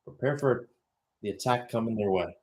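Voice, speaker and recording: A young adult man delivers live soccer play-by-play in the style of a radio broadcast. His voice sits at a middling pitch, and he shifts between following the action and talking it over conversationally. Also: normal speed. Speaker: play-by-play commentator.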